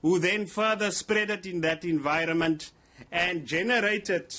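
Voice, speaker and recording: A man whose first language is Afrikaans, speaking English with an Afrikaans South African accent, making deliberate, emphatic points in a formal TV interview. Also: high voice, bright, forceful tone. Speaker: interviewee, police officer.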